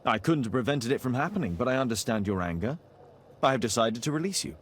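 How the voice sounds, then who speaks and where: An actor playing a sharp, formal Victorian gentleman detective in a video game, with a British accent and precise, authoritative delivery. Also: mid-range voice, lively intonation, fast talker, slight background noise, close to an everyday voice. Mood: stern, measured. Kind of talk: dramatic video game acting.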